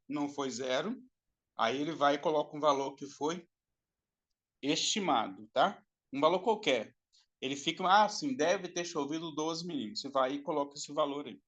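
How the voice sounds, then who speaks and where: A man's voice: on the high side, expressive, moderate pace, fairly bright tone. Man lecturing with frequent "tá?" checks. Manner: explanatory, repeats himself and adds frequent "tá?" checks. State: dry throat, coughing at times. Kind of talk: recorded online class lecture.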